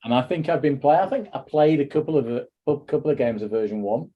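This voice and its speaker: A man's voice: fairly high pitch, animated, fairly quick, lo-fi sound. A middle-aged man hosting a casual podcast chat, rambling and wry with self-deprecating jokes. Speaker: podcast host.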